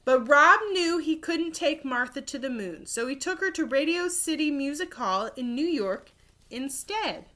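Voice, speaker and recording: Bright-voiced woman reading a storybook slowly. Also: high voice, animated. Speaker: third-grade teacher reading aloud. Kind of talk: expressive children's storybook read-aloud.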